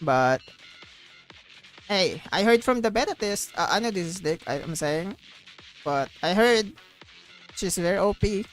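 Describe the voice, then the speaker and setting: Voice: mid-range voice, expressive, unhurried, long pauses. Rambling livestreamer with music behind. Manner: rambling, repeats phrases. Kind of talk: casual livestream chat.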